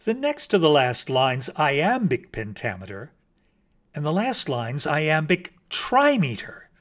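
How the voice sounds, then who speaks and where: A man's voice: a little high, huge pitch swings, takes it slowly, fairly bright tone, exaggerated voice. A man voicing a male mockingbird in a storybook, a cordial, pedantic critic who lectures in an effusive, condescendingly kind way. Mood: lecturing.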